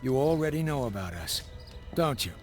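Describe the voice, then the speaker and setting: An actor playing a grim, resolute mercenary leader on the frontier in a dramatic game cutscene. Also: male voice, mid-range voice, very animated, moderate pace, lightly acted. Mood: somber. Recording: music behind the voice.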